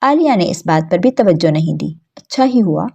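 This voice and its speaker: A woman's voice: fairly low pitch, animated, creaky, warm tone, husky. A woman storyteller reading scripted narration of a story for children.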